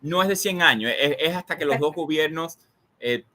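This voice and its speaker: A man's voice: a little high, a little fast, pushed and bright. A man with a Cuban accent speaking as an interview guest, reflective and discursive, emphatically building lists and repeating words. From Cuba. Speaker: interview guest, magazine editor. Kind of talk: conversational political and cultural reflection.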